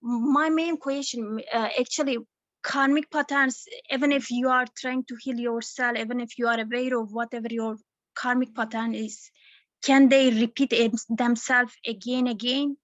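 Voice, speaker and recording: A woman's voice: on the high side, fairly even intonation, normal speed, no room sound. A woman from Turkey with a Turkish accent, a non-native English speaker asking a question hesitantly and searching for words on a video call. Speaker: webinar participant. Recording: dry muffled call audio.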